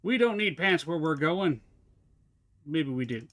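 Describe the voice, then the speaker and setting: A man's voice: on the high side, lively intonation, a little slow, a bit bright. A man streaming a puzzle game live, chatting casually and thinking aloud as he looks around. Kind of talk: casual live gameplay commentary.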